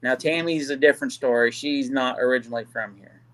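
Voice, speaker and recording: A man from Kansas, a guest on a live stream, talking in halting bursts full of "you know.". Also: medium pitch, fairly bright tone, low-quality recording. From Kansas City, Kansas. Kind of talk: casual live-stream discussion.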